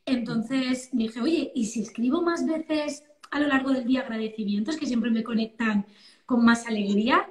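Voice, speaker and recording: A woman's voice: on the high side, moderate pace. A woman in her thirties with an accent from Spain, chatting casually and exclaiming often as an interview guest on a phone video call from home. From Valencia, Spain. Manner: animated, exclamatory. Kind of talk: casual chat. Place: living-room sofa at home, indoors. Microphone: phone at arm's length, video call. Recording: roomy echo over phone live stream.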